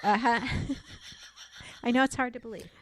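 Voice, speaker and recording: Higher-voiced woman, chatty podcast co-host. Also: fairly even intonation, moderate pace. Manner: informal, chatty. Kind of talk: casual, joking book chat.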